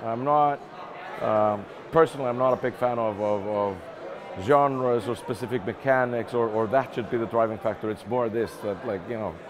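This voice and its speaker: A man's voice: medium pitch, moderate pace. A middle-aged man from Iceland giving casual interview answers, thinking aloud and repeating words as he goes. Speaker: interview guest, game publishing executive. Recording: busy event crowd behind the voice.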